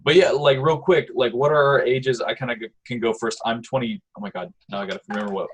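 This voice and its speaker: Young male host on a video call, husky, loose and chatty. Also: medium pitch, fairly even intonation, fast. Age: 20s. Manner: chatty, lots of "like" fillers. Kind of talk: casual chat on a video call.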